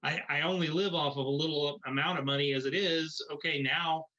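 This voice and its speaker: A man's voice: on the high side, fairly even intonation, brisk, pushed and bright. An American man hosting a casual podcast interview, rambling informally and encouragingly, on a slightly dull recording. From United States. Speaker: podcast host.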